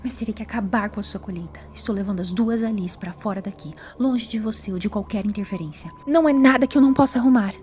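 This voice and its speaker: A woman's voice: mid-range voice, fast talker, few pauses, a little mellow, soft background music, close to an everyday voice. Woman playing a resolute time-travelling heroine. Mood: defiant, resolute. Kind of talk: audio drama acting.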